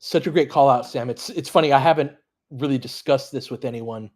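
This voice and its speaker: A man with a husky, slightly rough voice at a middling pitch hosts a casual basketball watch-along. He talks off the cuff in short bursts, mixing play-by-play with conversational asides to his listeners. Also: fairly quick, a little mellow. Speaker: sports livestream host doing fan play-by-play. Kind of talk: casual running basketball commentary, chatting with listeners.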